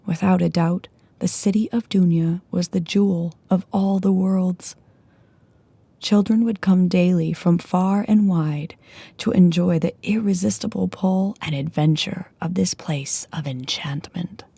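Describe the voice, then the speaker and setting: A woman narrator reading a scripted fairy tale in a measured storybook delivery, with phrase endings falling to a hush. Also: lowish voice, fairly even intonation, gravelly, husky, no echo. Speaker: audiobook narrator. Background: music.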